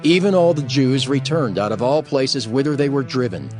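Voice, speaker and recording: A man's voice: mid-range voice, expressive, normal speed, a little mellow, soft background music. A man narrating scripture from a script as an audio Bible reader, formal and solemn.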